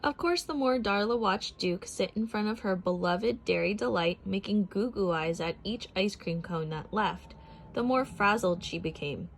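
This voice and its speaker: A woman narrating a picture book as a read-aloud for children, expressive and deliberate in her phrasing. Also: medium pitch, poor audio.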